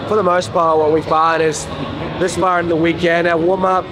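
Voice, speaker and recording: A man in his thirties, a coach, giving a quick offhand update at a competition. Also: on the high side, little pitch movement, noisy background. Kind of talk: casual behind-the-scenes update. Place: indoor competition venue. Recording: music playing in the venue.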